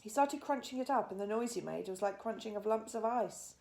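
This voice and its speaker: A woman narrating a children's bedtime story as an expressive storyteller. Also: on the high side, brisk.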